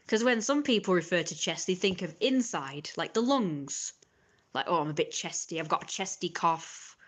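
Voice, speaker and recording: Woman ranting fast on a live stream. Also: mid-range voice. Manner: exasperated, fast ranting with mocking quoted asides. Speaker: livestreamer.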